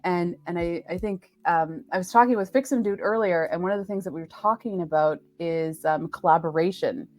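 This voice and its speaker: A woman hosting a casual live stream interview, with a chatty, jokey and halting way of talking. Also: on the low side, a little mellow, soft background music. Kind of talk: casual unscripted chat.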